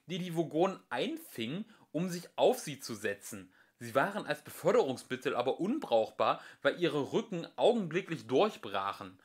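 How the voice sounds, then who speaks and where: A young man reading a comic science fiction novel aloud as an amateur home audiobook, with a dry, expressive storytelling manner. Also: a little high. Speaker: audiobook reader. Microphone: home recording.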